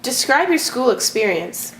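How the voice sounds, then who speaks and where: A woman's voice: on the high side, very animated, unhurried, echoey room, a little hiss or hum, low-quality recording. A young woman playing an upbeat talk show host. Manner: scripted, upbeat questioning. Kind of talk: school project mock talk show.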